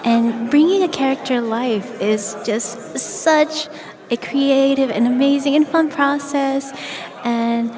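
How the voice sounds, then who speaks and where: A woman's voice: high-pitched, takes it slowly. A woman from Japan, a voice actor guest, chatting in a bubbly way with frequent laughs. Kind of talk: casual interview chat. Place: event venue with crowd. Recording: loud crowd behind.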